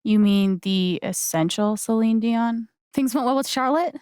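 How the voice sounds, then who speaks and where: A woman's voice: fairly high pitch, a little slow, darkish tone, smooth, no room sound, studio quality, natural voice. A woman voicing a friendly, wry young newcomer in a video game, talking in a casual, naturalistic, dryly joking way. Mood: casual, curious. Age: young adult.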